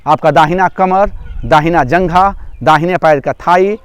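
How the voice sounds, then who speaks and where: High-pitched, clear-voiced male relaxation guide. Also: a little slow, dry recording. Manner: repetitive, step-by-step instructing. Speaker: yoga nidra instructor. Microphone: microphone through a loudspeaker.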